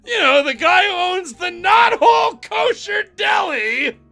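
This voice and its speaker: A man's voice: very high voice, big pitch swings, unhurried, creaky, pushed and bright, raised voice, faint music behind, pitched above the performer's own voice, full character voice. A man reading as an eager young boy fox sidekick in a keen, chirpy, exclamatory manner.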